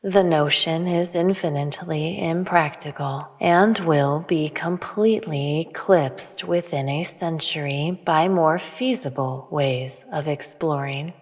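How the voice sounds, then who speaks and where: A woman playing a cryptic, all-knowing otherworldly being in a sci-fi audio drama, speaking in a measured, formal, superior way. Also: low-pitched, full character voice. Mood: cool, dismissive. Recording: long reverb over music.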